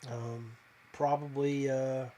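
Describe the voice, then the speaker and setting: A man's voice: mid-range voice, little pitch movement, slow, warm tone, a little hiss or hum. A man hosting a live podcast, talking casually and folksily to viewers in a hesitant, rambling way. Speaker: podcast host.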